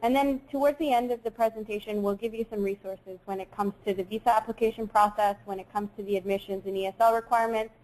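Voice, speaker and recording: A young woman in her twenties presenting an online webinar, friendly and quick, with frequent ums. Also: mid-range voice, steady tone, a little mellow, low-quality recording. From Saudi Arabia. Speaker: webinar host.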